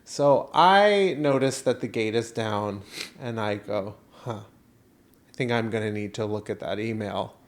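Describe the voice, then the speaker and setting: A man co-hosting a podcast, telling casual comedic stories in an animated, dramatic way and acting out reactions. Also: lowish voice, unhurried. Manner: animated, dramatic storyteller who acts out reactions and quotes. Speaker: podcast co-host. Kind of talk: casual comedic storytelling chat.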